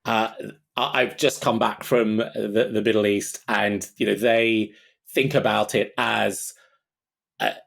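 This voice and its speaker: A man's voice: on the low side, fairly even intonation, takes it slowly, vocal fry, a bit bright. A man speaking as an expert guest in an interview, explaining his thinking aloud step by step in a conversational way. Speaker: expert guest, technology analyst.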